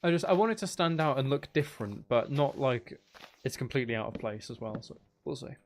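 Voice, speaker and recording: A man streaming a game with casual, rambling commentary, thinking aloud as he plays. His medium-pitched voice has plenty of creak and fry. Faint noise and clicking sit behind him. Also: brisk. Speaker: gaming streamer. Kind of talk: casual live gameplay commentary.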